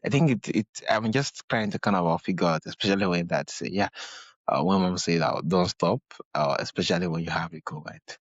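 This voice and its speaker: Deep raspy guy hosting a podcast, dull lo-fi mic, kinda halting. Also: dry recording. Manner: hesitant, many uhs, repeats "amazing". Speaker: podcast host. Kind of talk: interview hosting.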